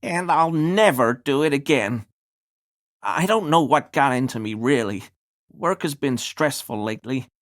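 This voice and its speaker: A grovelling, small-minded townsman from a video game, acted by a man, speaks in a mid-pitched male voice. He is halting and apologetic, forever pleading with authority and eager to appear decent. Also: expressive, close to an everyday voice. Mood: contrite, pleading. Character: nervous, grovelling small-time bigoted townsman under police questioning. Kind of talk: scripted video game character dialogue.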